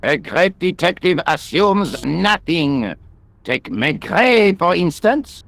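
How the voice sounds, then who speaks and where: Pompous French police detective with swooping intonation. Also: male voice, high-pitched, sing-song, vocal fry, fairly bright tone, no room sound, full character voice. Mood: scolding, pompous. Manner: pompous, deliberate. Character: pompous, self-important French police detective, condescending to his assistant. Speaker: police inspector. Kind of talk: video game voice acting.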